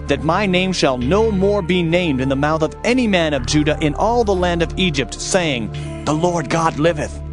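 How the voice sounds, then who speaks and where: A man playing a stern prophet in a dramatized audio Bible, solemnly declaiming God's judgment in archaic phrases. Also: mid-range voice.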